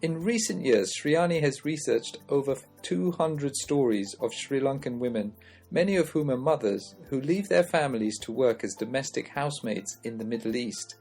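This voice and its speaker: A man hosting a podcast, reading a scripted introduction and asking interview questions in a measured way. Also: mid-range voice, lively intonation, darkish tone, soft background music. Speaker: podcast host.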